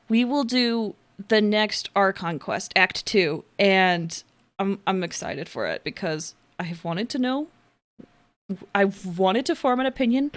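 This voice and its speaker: A woman's voice: medium pitch, fairly bright tone. A woman hosting a game livestream, chatting casually and animatedly and sometimes muttering to herself as she plays. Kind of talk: casual livestream chat while playing a game.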